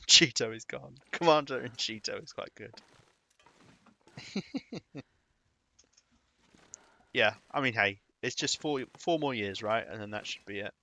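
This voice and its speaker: A man's voice: on the low side, sharp tone. A young British man chatting casually while streaming a game, rambling and chuckling between remarks. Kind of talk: live gaming commentary.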